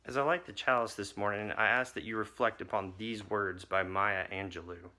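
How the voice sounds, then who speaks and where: Guy reading a poem at church, lowish voice, bright and forceful. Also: steady tone, a little hiss or hum. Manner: measured, softening poem reading. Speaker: chalice lighter. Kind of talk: reading a poem aloud at a service.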